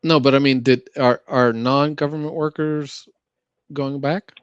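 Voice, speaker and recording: An American man chats casually at a middling pitch. He speaks in a halting, conversational manner. The sound is very dry, with no room around the voice. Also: steady tone, clear, resonant tone, no echo, muffled recording. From United States. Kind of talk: casual chat.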